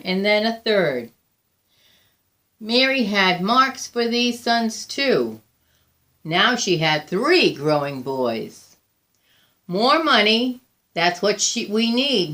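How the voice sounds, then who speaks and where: Woman reading a kids picture book out loud, slow n deliberate, expressive storyteller pauses. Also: medium pitch. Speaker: volunteer storybook reader. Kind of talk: read-aloud picture book narration.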